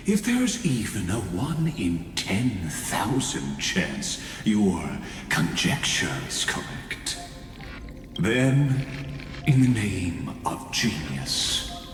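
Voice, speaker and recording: A cold genius villain speaks in a deep voice at a very slow, deliberate pace, with dramatic swings of pitch. He sounds grand and condescending, like a video game mastermind. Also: huge pitch swings, full character voice. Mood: defiant, haughty. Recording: echoey long reverb under clear music.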